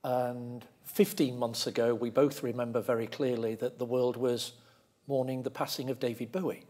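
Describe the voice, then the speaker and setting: Husky middle-aged man hosting on stage. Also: mid-range voice, flat delivery, normal speed, a little mellow. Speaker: interviewer, host. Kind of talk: measured on-stage interview. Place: large lecture theatre stage.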